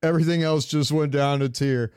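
A man's voice: fairly high pitch, steady tone, brisk, darkish tone, clear, resonant tone. A man co-hosting a podcast, chatting casually about games in slangy, profane language. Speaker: podcast co-host. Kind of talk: casual gaming chat.

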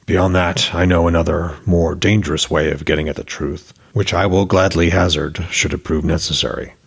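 A man's voice: low-pitched, steady tone, normal speed, gravelly, husky, heavily acted. A man voicing a commanding, resolute father god in a fantasy story, speaking with grave authority.

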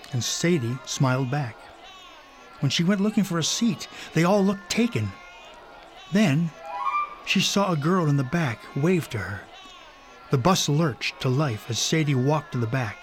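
A man's voice: fairly high pitch, expressive, a little slow, soft background music. A man narrating a scripted children's story.

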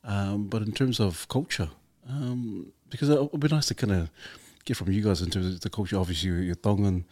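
A man's voice: on the low side, fairly quick, a little mellow, dry recording, studio quality. A man hosting a podcast, asking long, meandering questions full of fillers. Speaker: podcast host. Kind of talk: casual interview.

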